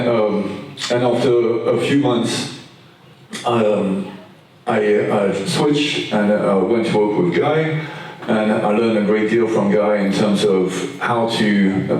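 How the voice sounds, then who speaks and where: A man with a Belgian French accent and French as his first language, speaking on an architecture panel with many pauses and ums. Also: on the low side, darkish tone. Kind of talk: panel talk. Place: large event room. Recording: echoey room, distant mic.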